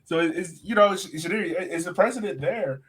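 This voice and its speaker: A man with a slightly high voice hosts a casual sports podcast. He talks conversationally, like someone chatting through fixtures with fellow fans. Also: expressive, normal speed, fairly bright tone. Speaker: soccer podcast host.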